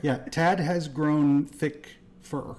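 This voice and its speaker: A man's voice: on the high side, slow pace. Easygoing man narrating as game master. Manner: relaxed, laughs while narrating. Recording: live stream voice chat.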